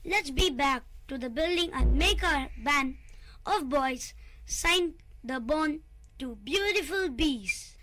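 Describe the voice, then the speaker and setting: Lady doing a squeaky cheeky little guy, slow and whiny, kids story. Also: slight background noise, heavily acted. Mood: excited. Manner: excited, exclaiming, drawn-out. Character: cheeky childish male creature, playful and greedy.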